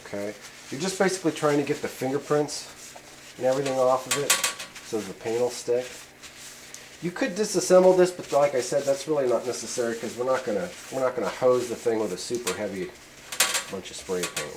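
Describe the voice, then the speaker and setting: A man's voice: mid-range voice, expressive, a little fast. Guy rambling thru a how-to at his workbench, lots of um and uh, faint noise behind. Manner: rambling, many fillers and restarts. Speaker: channel host demonstrating a repair. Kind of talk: casual how-to talk. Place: home workshop bench.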